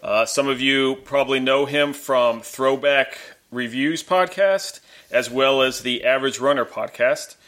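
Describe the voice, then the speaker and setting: A man's voice: medium pitch, a little slow, hardly any pauses, a bit bright. A man hosting a podcast, interviewing a friend in a friendly, easygoing way. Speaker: podcast host. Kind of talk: casual interview chat.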